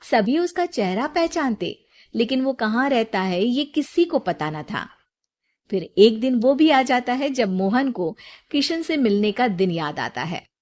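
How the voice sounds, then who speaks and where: A woman's voice: fairly high pitch, a little mellow. A woman storyteller reading a scripted moral tale as narration.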